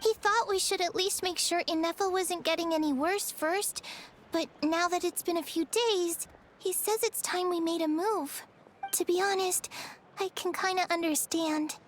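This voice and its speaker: A woman's voice: extremely high pitch, fairly even intonation, unhurried, a little hiss or hum. An earnest, anxious young boy. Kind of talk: game voice acting.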